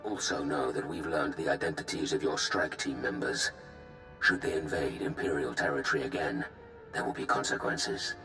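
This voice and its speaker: An actor playing a formal, threatening official of an evil galactic empire in a video game cutscene. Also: male voice, extremely low pitch, moderate pace, echoey room, music in the background. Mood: cold menace. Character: menacing male Sith Empire official delivering a formal warning.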